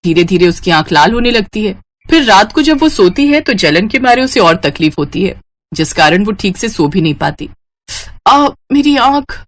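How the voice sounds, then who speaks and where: A woman narrating an animated family storybook in an even, storytelling way. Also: medium pitch, moderate pace.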